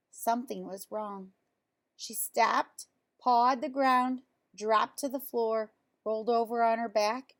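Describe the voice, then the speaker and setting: A woman reading a children's storybook aloud in an expressive, emphatic storytelling way. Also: mid-range voice. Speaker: storybook reader.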